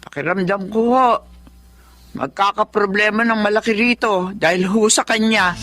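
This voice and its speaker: A woman's voice: mid-range voice, moderate pace, fairly bright tone, soft background music, close to an everyday voice. Woman acting an anxious, loyal older housemaid. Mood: nervous. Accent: Filipino. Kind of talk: Filipino radio drama acting.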